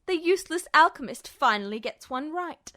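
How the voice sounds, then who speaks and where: A woman's voice: sits high, lively intonation, normal speed, bright, forceful tone. Woman voicing an unhinged, gloating male villain. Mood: smug menace. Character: unhinged, bitter, smug male villain, a rogue alchemist. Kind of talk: audiobook-style character acting.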